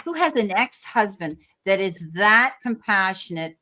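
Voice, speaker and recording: A woman's voice: medium pitch, sing-song, a little slow, pushed and bright. A Canadian woman hosting a live tarot reading, reassuring and calling people her darling. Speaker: tarot reader, stream host.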